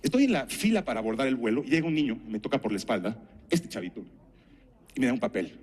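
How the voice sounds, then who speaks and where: Mexican guy, middle-aged, speaking at a conference, teacherly, deliberate phrasing, stories and questions. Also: medium pitch, big pitch swings, darkish tone. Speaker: conference speaker and health professional. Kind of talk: conference talk with anecdotes and rhetorical questions.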